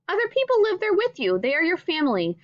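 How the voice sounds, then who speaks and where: A woman's voice: very high-pitched, huge pitch swings, quick pace, no room sound, highs cut off. A woman teacher reading a children's picture book aloud, speaking directly to young listeners. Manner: addresses young listeners directly with simple questions. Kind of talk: children's read-aloud with questions and asides.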